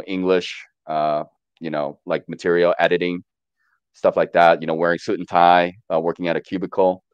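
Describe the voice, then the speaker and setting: A man's voice: low voice, smooth, dry recording, lo-fi sound. A man in his thirties, born in Korea with Korean as his first language, chatting hesitantly as a guest with many fillers over a video call. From born in Korea, raised in the US. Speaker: panel guest. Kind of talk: casual livestream chat.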